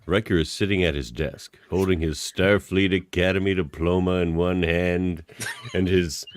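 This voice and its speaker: A man's voice: sits low, fairly even intonation, moderate pace, slight background noise. A man narrating scene directions for a fan radio play, with joking asides.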